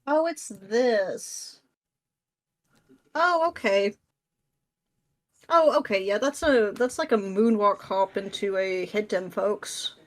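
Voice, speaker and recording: A woman's voice: mid-range voice, slow pace, pauses often. Playful, slangy woman in casual chat. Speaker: podcast guest, script writer.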